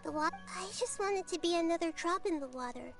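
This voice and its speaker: A woman voicing a gentle, wise little girl goddess in a video game, speaking in a thoughtful, earnest and childlike way. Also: extremely high pitch, brisk, gravelly, faint music behind. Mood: thoughtful, serene. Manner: thoughtful, earnest, softly instructive.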